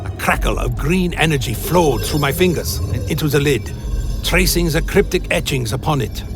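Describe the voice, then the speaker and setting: A man playing a haunted, wary adventurer who tells his own dark fantasy tale in a radio play, in solemn literary storytelling. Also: on the high side, animated, normal speed. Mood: grave, wary. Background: music.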